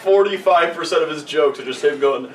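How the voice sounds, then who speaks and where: Blunt, slightly high-voiced man, off-mic. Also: fairly quick, recorded from a distance. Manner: blunt, heavy swearing. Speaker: podcast co-host. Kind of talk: casual banter. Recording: off-mic with faint noise.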